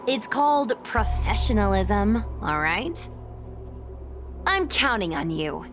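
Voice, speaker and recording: A woman's voice: medium pitch, very animated, slow. A woman playing a perky, confident young streamer in an anime-style video game. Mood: upbeat, reassuring. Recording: background music under the voice.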